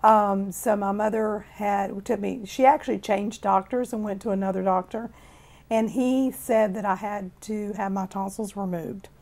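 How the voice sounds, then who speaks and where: A woman in her fifties from Florida with a hoarse, raspy voice, telling her own life story in a plain, unhurried way. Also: medium pitch, steady tone, a little mellow. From Florida, USA. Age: 50s. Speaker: testimony speaker.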